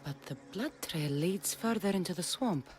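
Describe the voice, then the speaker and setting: An actress playing a tough, protective Greek mercenary in a video game, with a Greek accent and a direct, resolute manner. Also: female voice, lowish voice, expressive, moderate pace, a little mellow, close to an everyday voice. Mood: grim, troubled. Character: tough adult female Greek mercenary warrior, protective and defiant. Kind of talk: video game cutscene acting.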